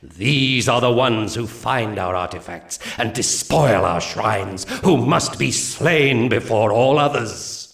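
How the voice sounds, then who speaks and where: A man's voice: mid-range voice, expressive, slow, vocal fry, few pauses, fairly bright tone, full character voice. A man playing a solemn alien seer in a video game, delivering grandiose, archaic briefings to warriors with prophetic gravity. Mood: solemn, proud. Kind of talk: scripted game mission briefing. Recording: roomy echo, distant from mic.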